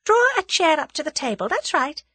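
A woman's voice: high voice, very animated, normal speed, a bit bright, no room sound, voice pushed up. A woman voicing a spirited, cunning, plucky young woman with a theatrical delivery in an audiobook story. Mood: brisk, pleasant. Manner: theatrical, dramatic.

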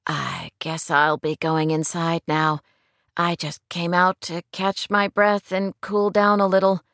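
A woman voicing a torn, anxious young woman in love for a romance audiobook, in a soft, breathy, pained murmur. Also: lowish voice, flat delivery, a little slow, dry recording, voice pushed down. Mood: careful. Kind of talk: romance audiobook character dialogue.

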